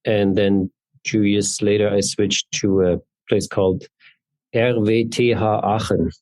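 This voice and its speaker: Man, fifties, German accent, lowish pitch, dry sound, conversational interview answers. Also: little pitch movement, measured pace, darkish tone, no room sound, poor audio. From East Berlin, Germany. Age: 50s. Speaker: interview guest, neurologist and genetics researcher.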